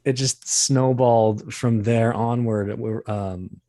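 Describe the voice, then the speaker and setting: A man's voice: mid-range voice, steady tone, slow pace, warm tone, dry recording, studio quality. A man chatting casually as an interview guest, telling anecdotes in a rambling, wry, self-deprecating way. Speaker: interview guest, voice actor and performer.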